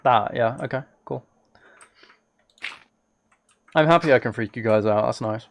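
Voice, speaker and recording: A man with a mid-pitched voice talks casually on a gaming livestream. He thinks out loud as he plays and chats with his viewers in an informal way. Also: brisk, vocal fry, slight background noise. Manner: musing, thinking aloud. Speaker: live streamer.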